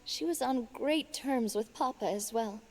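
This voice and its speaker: A woman's voice: on the high side, unhurried, soft background music. A woman voicing a cheerful, earnest young noblewoman in a game. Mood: wistful. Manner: lively, earnest, a bit wistful. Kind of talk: game voice acting.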